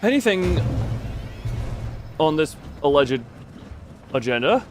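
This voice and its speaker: A man livestreaming a game, chatting casually in a halting, rambling way as he thinks aloud. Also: on the high side, sing-song, soft background music. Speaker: livestream host. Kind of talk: casual chat while playing a horror game live. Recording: clear background noise.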